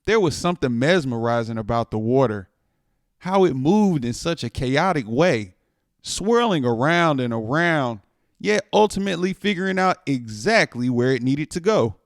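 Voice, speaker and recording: Animated man reading a storybook aloud. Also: mid-range voice, no room sound, clean studio sound. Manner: expressive, dramatic emphasis with drawn-out words. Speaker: storytime reader. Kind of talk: animated children's picture book read-aloud.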